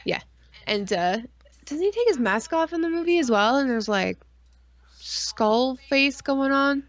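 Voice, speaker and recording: A woman's voice: high voice, lively intonation, unhurried. A woman co-hosting a casual live-stream chat, rambling with geeky enthusiasm, slang and frequent swearing. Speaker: podcast co-host.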